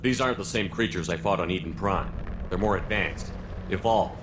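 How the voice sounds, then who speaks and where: A man's voice: low voice, normal speed, background noise, natural voice. A man playing a resolute, controlled military space commander in a video game, speaking in firm, terse lines. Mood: determined. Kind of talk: video game drama acting.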